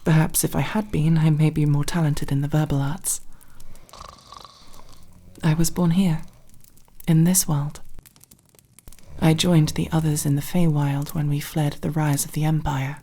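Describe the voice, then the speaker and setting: A woman acts out a young female elf rogue in a fantasy ASMR roleplay, proud, nervous and quick to defend herself. Her voice is low and slow, wry and halting. A faint noise sits behind her. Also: level tone, dark tone. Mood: nervous, relieved.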